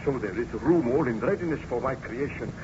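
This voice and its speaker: An actor playing an obsessed, proud scientist in an old radio horror play, grandiose, melodramatic and commanding. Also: male voice, medium pitch, lively intonation, fast, close to an everyday voice. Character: obsessed male scientist, proud creator of a monster. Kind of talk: old-time radio horror drama acting. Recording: dull, noisy vintage radio recording.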